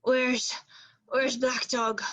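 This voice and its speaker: A woman playing a rough, fearful old male sea captain who craves rum, in a radio play with sailor dialect. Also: high-pitched, level tone, extremely slow, a bit bright, full character voice. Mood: desperate.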